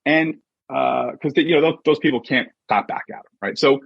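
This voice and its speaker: A husky-voiced man giving an emphatic, conversational motivational talk as a coach and podcast guest, often checking in with short rhetorical questions. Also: mid-range voice, a little fast, dry recording. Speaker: podcast guest, sports mindset coach and author. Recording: dull, muffled lo-fi audio.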